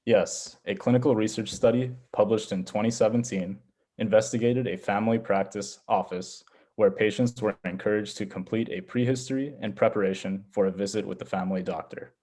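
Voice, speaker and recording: A man's voice: on the low side, steady tone, a little fast, warm tone. A man giving scripted explanatory answers as a panelist on a health education program.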